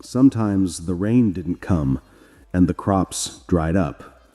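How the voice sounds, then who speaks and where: A man narrates a scripted moral story. His tone is mellow and his delivery slow, with a lively rise and fall. Long reverb surrounds the voice. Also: on the low side. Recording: long reverb, background music. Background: music.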